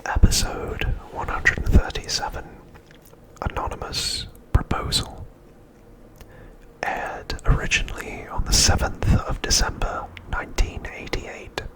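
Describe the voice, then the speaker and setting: Slow whispering man, ASMR narration. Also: poor audio. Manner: soft, unhurried whispering with deliberate pauses. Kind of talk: whispered ASMR, scripted episode recap narration.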